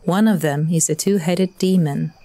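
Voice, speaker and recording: A woman's voice: lowish voice, moderate pace, noisy background. A woman giving scripted storytelling narration for an audio drama.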